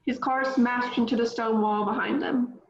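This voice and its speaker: A woman reading the stage directions of a script at a table read, even and matter-of-fact. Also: on the high side, normal speed. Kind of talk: reading script action lines. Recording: roomy, dull mic with faint noise.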